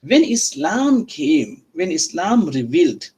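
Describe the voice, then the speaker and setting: A man's voice: a little high, very animated, takes it slowly, darkish tone, husky. A male university lecturer who speaks English as a second language, teaching interactively with repeated phrases and frequent checking questions to students. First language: not Arabic or English.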